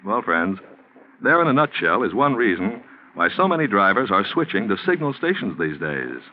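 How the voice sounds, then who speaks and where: A man announcing in 1940s radio style, delivering a sponsor pitch with formal, polished salesmanship. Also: sing-song, normal speed, slight background noise. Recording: muffled 1940s radio recording, faint music.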